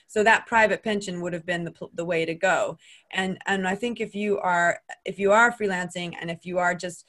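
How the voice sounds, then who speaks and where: Casting: American woman host, slightly low voice, chatty, lots of restarts. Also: a bit bright. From United States. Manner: chatty, with many restarts and asides. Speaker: podcast host. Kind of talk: casual conversation.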